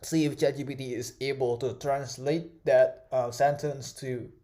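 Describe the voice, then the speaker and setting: A man's voice: medium pitch, flat delivery, a little slow, mellow. A young man whose first language is Indonesian, teaching an online course over a screen recording in a casual way, full of fillers and "right?" checks. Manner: casual, many fillers and tag questions.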